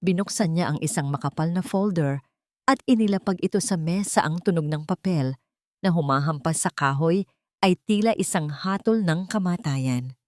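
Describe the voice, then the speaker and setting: A woman with a Filipino accent narrating a scripted dramatic story in a measured, theatrical way. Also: fairly low pitch, darkish tone, dry recording, clean studio sound.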